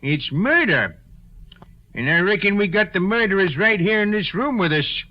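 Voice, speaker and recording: A man's voice: sits high, lively intonation, moderate pace. A man playing a folksy, plain-spoken American country sheriff in an old-time radio drama. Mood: grimly realizing. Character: folksy, slow-reasoning male country sheriff. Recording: muffled old radio broadcast.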